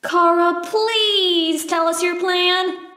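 Woman as a very high, perky, echoey asker. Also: fairly even intonation, drawn-out words, bright, forceful tone, faint music behind, heavily acted. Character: perky, nosy off-screen question asker. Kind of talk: fan comic dub acting.

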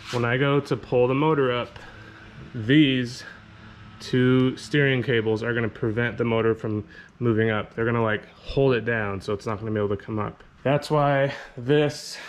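Easygoing man in a garage. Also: mid-range voice. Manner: relaxed, explanatory, repeats himself. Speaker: fishing channel host. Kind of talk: casual DIY walkthrough. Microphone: action camera on head or chest. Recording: camera mic with clear background noise.

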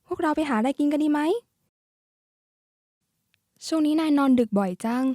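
A woman acts out a youthful, caring schoolgirl from a cartoon card game. The voice sits high and is expressive and familiar, the way one talks to close friends. The sound is close and dry, with no room on it. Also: moderate pace, no room sound. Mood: gentle concern. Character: lively, caring schoolgirl who loves a card-flicking game. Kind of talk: character voice pack lines.

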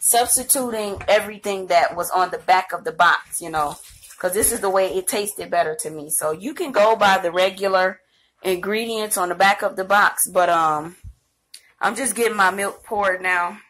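A woman's voice: mid-range voice, normal speed, fairly bright tone, low-quality recording. A middle-aged woman hosting a home cooking video, chatting casually to viewers as she cooks in her kitchen while filming with her phone. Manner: chatty, rambling, talks straight to viewers. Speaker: home cooking channel host. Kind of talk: casual cooking demo chat. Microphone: handheld phone camera.

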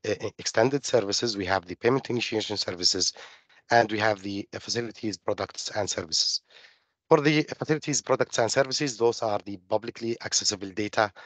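A middle-aged man from Jordan, giving a technical webinar presentation over a video call, halting with many fillers and restarts. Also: fairly low pitch, a bit bright, no echo. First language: Arabic. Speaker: webinar presenter on open finance.

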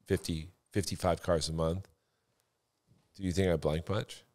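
A man's voice: sits low, fairly even intonation, unhurried, a little mellow. A man in casual podcast banter, telling sales stories assertively and repeating himself for emphasis. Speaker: former car salesman and floor manager, podcast talker.

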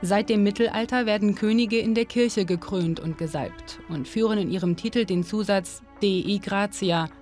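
A woman's voice: mid-range voice, normal speed, few pauses, a little mellow. Woman narrating over music. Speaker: documentary narrator. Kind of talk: scripted narration. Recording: studio voice-over with clear music underneath.

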